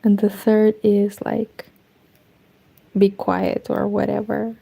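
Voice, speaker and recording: Woman, Romanian accent, casual knitting stream, rambling, half-whispered asides, gravelly. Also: medium pitch, monotone, slow, dark tone. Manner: rambling, talks to herself, drifts into whispers. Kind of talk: casual chat while knitting. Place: home room with curtains.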